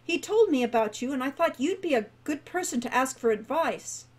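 A woman's voice: high voice, animated, moderate pace, breathy edge. A woman voicing a polite, earnest young male adventurer who speaks hesitantly.

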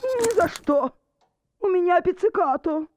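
A woman's voice: very high voice, expressive, a little slow, a little mellow, a little hiss or hum. A woman voicing a sulky, delicate cello wife in a cartoon, melodramatic and plaintive. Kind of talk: animated cartoon voice acting.

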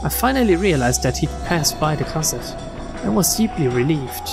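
A woman streamer reading visual novel text aloud in an expressive storytelling style. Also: sits low, moderate pace, creaky. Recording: game music behind the voice.

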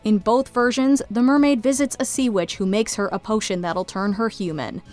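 A woman's voice: medium pitch, steady tone, moderate pace, a little mellow, faint music behind. A woman reading scripted video essay narration into a close studio microphone. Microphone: close studio voice-over mic.

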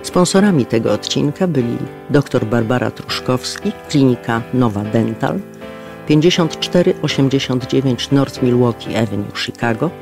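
Gravelly male announcer over guitar music. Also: medium pitch, animated, normal speed. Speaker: announcer reading credits. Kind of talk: scripted announcement.

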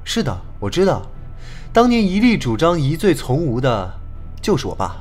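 A man's voice: on the high side, wildly swooping pitch, moderate pace, a little mellow, faint music behind. A man playing a bold, articulate young police detective who is a little flippant, in an audio drama. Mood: assured, probing. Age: young adult. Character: bold young male police detective, cheeky with his father. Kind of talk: Chinese audio drama acting.

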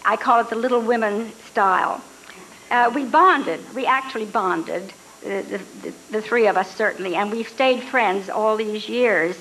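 An older woman recalls her radio years in a relaxed, chatty way at a stage stand mic. Her voice sits fairly high, with faint room noise behind it in a ballroom. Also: moderate pace, rough recording. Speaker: former radio actress guest. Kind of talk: casual reminiscing talk.

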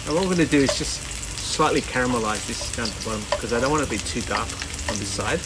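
A man in his forties talking casually while he forages and cooks outdoors, relaxed and chatty with plenty of ums, recorded on a camera mic. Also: medium pitch, fast talker. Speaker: cooking vlogger. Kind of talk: casual cooking commentary. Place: outdoor garden kitchen and rocky bay shore. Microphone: camera mic at medium distance. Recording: outdoor camera audio with loud ambient noise.

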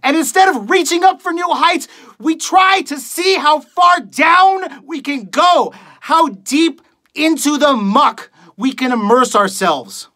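A stage performer acting a bitter late-night radio host who holds listeners in contempt. The delivery is slow and forceful, full of scorn and accusation, swinging widely in pitch as a dramatic monologue. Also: lively intonation, slightly rough. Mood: accusatory, impassioned. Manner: scornful, accusatory, building from low muttering to shouting.

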